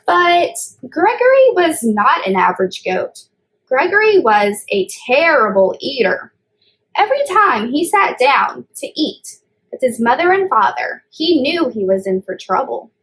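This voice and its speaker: A woman speaks in a high, very animated voice at a slow pace. She leads story time for young children, putting deliberate emphasis on key words. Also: low-quality recording.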